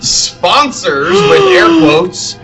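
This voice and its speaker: A man's voice: extremely high pitch, huge pitch swings, very slow, vocal fry, over loud music, poor audio. A man co-hosting a podcast live at a convention in casual banter. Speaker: podcast co-host. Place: comic convention.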